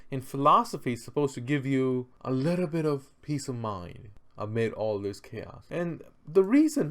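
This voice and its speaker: A man presenting an unscripted, conversational explainer, speaking emphatically with rhetorical questions and repeated phrases for stress. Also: fairly high pitch. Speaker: presenter explaining history and philosophy.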